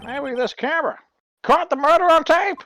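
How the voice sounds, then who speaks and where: A performer voicing a scruffy, eager, bumbling male police detective with a folksy, excitable manner in a live game fan dub. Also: high-pitched, normal speed. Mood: earnest. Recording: music behind the voice.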